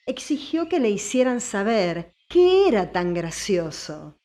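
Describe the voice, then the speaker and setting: A woman's voice: medium pitch, sing-song, takes it slowly, mellow. A woman reading scripted story narration as an audiobook narrator.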